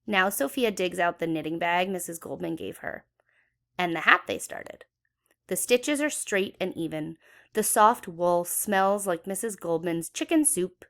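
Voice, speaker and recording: A woman's voice: lowish voice, animated, measured pace, vocal fry, a bit bright. A woman reading a children's story aloud expressively, with dramatic emphasis for young listeners. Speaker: children's book read-aloud educator.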